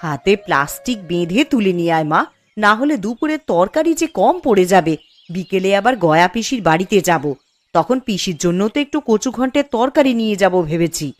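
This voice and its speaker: A woman's voice: mid-range voice, expressive, a little fast, no echo, close to an everyday voice. A woman playing a scolding, principled village mother in a cartoon story, with a nagging, homely manner. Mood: coaxing. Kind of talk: Bengali cartoon story acting. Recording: music behind the voice. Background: background music.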